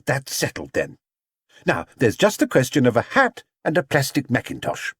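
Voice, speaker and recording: Fussy, high-pitched motherly lady played by a man. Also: wildly swooping pitch, normal speed, husky, no room sound, studio quality. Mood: brisk, decided. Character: fussy, anxious motherly middle-class woman shopper.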